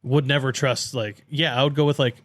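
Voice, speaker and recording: A man's voice: medium pitch, steady tone, fairly quick, no room sound. A man with a mid-range voice chats as a podcast co-host. His delivery is informal and agreeable, loose and conversational, with the odd swear word. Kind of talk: casual podcast chat.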